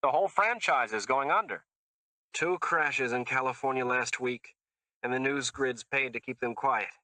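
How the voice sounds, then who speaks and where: Man voicing a panicky male driver, pleading, griping, bright edgy tone. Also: mid-range voice, moderate pace, no room sound, pitched above the performer's own voice, natural voice, poor audio. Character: panicky, bitter male air car driver.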